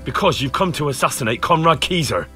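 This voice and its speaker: A man's voice: a little high, lively intonation, quick pace, bright, forceful tone, faint music behind. A man playing an earnest, persuasive young medieval investigator in a video game, polite, probing and firm. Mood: stern, accusing. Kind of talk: video game cutscene acting.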